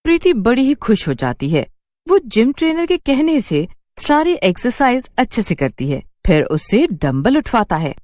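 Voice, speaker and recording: A woman's voice: fairly high pitch, sing-song, normal speed, a little mellow, dry recording, studio quality. A woman telling a scripted cartoon story as its narrator.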